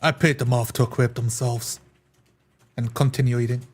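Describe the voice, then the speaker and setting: Male tabletop player of middling pitch. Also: flat delivery, normal speed, a little mellow. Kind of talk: improvised roleplay, describing his character's actions.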